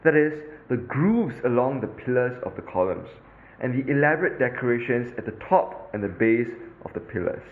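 A man's voice: mid-range voice, moderate pace, narrow, tinny audio. A man with a Singaporean English accent, narrating a scripted audio tour as a podcast host, in an instructive tone with the odd filler. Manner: instructive, lively, with occasional fillers.

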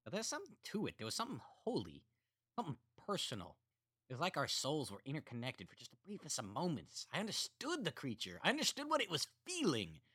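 A soldier confesses dark deeds in a flat, matter-of-fact way that turns eerie, his voice raised above the storyteller's own low register, as a male narrator puts him on. The sound is bright and crisp. The room is dry and close. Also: quick pace, no room sound, exaggerated voice. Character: adult man, soldier, calm unsettling killer.

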